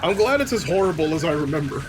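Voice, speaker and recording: A man's voice: fairly high pitch, sing-song, fast talker, noisy background. A man giving casual, joking game commentary in a sarcastic, mocking way. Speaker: let's play commentator. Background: loud game music.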